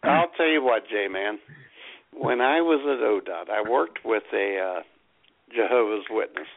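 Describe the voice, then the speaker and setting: Gravelly, animated man on phone-like audio. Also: on the low side, a little slow. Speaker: comedy radio show co-host. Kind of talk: casual joking banter.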